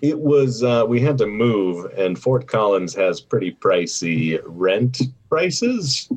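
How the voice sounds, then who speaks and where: A man with a very low, rough-edged voice talks casually among friends on a podcast. His language is informal and loose. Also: sing-song, takes it slowly, darkish tone. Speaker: podcast guest. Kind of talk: casual profane group chat.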